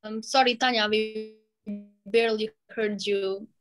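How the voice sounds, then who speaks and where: Slow-spoken teen girl on a call. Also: a little high, steady tone, soft background music, low-quality recording. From Bosnia. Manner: polite, hesitant, simple phrasing. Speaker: guest. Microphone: video call.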